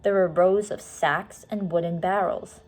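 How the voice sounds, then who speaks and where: A woman's voice: on the low side, steady tone, measured pace. A woman reading a children's storybook aloud expressively, explaining things simply and casually to young listeners.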